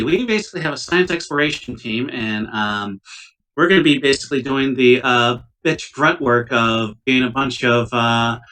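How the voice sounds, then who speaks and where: A man's voice: mid-range voice, sharp tone. A man playing an uneasy, wry civilian scientist in a tabletop roleplaying game, speaking in a hesitant, wordy, sardonic way. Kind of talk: tabletop roleplaying, improvised dialogue. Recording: distant mic, poor laptop recording.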